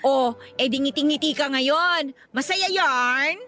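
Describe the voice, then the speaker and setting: A woman's voice: sits high, slow pace, bright, forceful tone, soft background music, exaggerated voice. A woman playing a brash, teasing young vendor in a Filipino radio drama, with a sassy, gossipy and exclamatory delivery.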